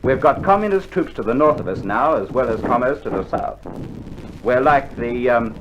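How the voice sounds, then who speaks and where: An actor playing a laconic, dryly stern Australian sergeant major with gruff understatement, in a 1960s radio drama. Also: male voice, medium pitch, lively intonation, normal speed, natural voice. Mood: grim. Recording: muffled 1960s vinyl, faint noise.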